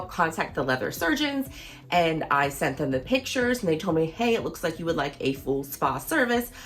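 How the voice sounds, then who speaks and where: A woman in her thirties, a fashion vlogger, chatting in a casual, enthusiastic way, her voice a little hoarse as she gets over losing it. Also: deep voice, fairly bright tone. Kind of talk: casual product review vlog.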